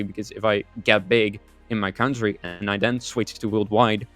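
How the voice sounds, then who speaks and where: A man in his twenties from the Netherlands, giving casual answers as a streamer guest on a podcast. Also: lowish voice. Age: 20s.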